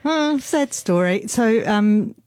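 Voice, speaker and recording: A middle-aged woman born in England and raised in New Zealand, reminiscing casually and haltingly as a radio interview guest. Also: medium pitch, animated, a little mellow, no echo, clean studio sound. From born in England, grew up in New Zealand. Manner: hesitant, halting, reflective.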